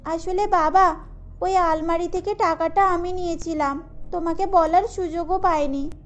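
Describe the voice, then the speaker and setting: A woman's voice: extremely high pitch, steady tone, unhurried. A woman playing a proud, headstrong young bride-to-be in a cartoon, with a girlish, pointed manner. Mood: guilty. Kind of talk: cartoon voice acting.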